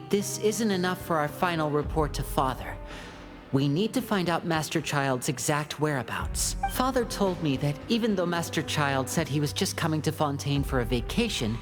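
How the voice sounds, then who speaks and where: A woman's voice: deep voice, expressive, normal speed. A woman playing a composed, polite and calculating young male magician in a video game. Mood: composed, thoughtful. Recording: game music behind the voice.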